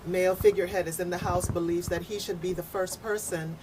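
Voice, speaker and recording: A woman speaking on a serious radio panel, deliberate, firm and emphatic in how she explains things. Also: on the low side, steady tone, background noise.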